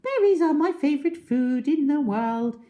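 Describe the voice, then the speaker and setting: A sweet, innocent storybook bird. It chirps in a very high singsong, curious and guileless, lilting up and down. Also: female voice, lively intonation, moderate pace, warm tone. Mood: innocently curious.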